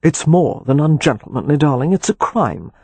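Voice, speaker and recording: A narrator puts on a wry gentleman rake in an audiobook. He is affectionate and teasing, speaking with dry nonchalance in a husky voice, with no room sound at all. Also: mid-range voice, lively intonation, moderate pace, no echo. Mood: indifferent, teasing. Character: Leo, wry, rakish, affectionate gentleman brother. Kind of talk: audiobook character dialogue.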